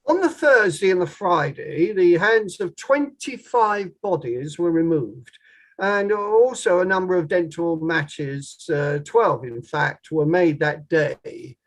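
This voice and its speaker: A man playing a formal, probing barrister who questions in a measured way, in a table read of a courtroom play. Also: high voice, expressive, poor audio. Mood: pressing, formal. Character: probing male inquiry barrister, formal and methodical.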